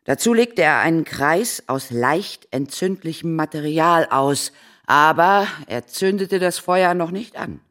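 Grave, learned ghost-hunter mentor. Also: male voice, a little high, lively intonation, a bit bright. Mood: instructive. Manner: deliberate, formal, lecturing. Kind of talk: children's radio play acting.

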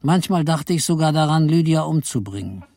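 An actor playing an embittered, henpecked office clerk in a radio play, brooding and theatrical as narrator and husband. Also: male voice, on the high side, expressive, fairly quick, gravelly, darkish tone, no room sound. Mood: bitter, brooding. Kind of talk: radio play, dramatic first-person narration and dialogue.

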